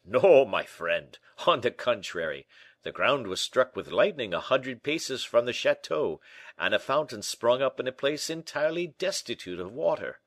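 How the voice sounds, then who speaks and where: A man's voice: mid-range voice, sing-song, normal speed, voice pushed up. Man acting a lugubrious, blustering rich nobleman, heavily emphatic. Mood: gloomy. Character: Porthos, vain rich big nobleman, melancholy and blustering. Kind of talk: audiobook character acting.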